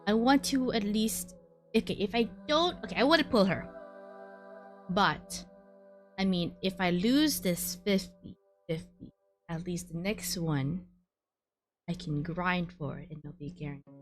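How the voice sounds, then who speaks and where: A woman's voice: lowish voice, measured pace, pauses often. A woman livestreaming a game, chatting casually and muttering to herself as she thinks aloud. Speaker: livestreamer, VTuber. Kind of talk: casual live gaming stream chat. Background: background music.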